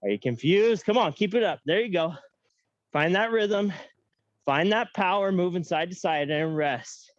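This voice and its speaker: Young man, fitness coach, high-pitched voice, upbeat cues, dry dull recording. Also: animated, unhurried, no echo. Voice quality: self-described high-pitched voice. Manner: upbeat counting and coaching cues, joking asides. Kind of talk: guiding an online workout class.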